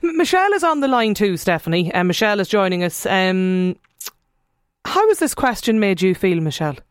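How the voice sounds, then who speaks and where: A woman's voice: mid-range voice, big pitch swings, a little fast, clear, resonant tone, no echo, clean studio sound. A woman hosting a radio phone-in, hesitant and repeating her words as she forms her questions. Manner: hesitant, repeats words, then a sudden lift. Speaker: radio host.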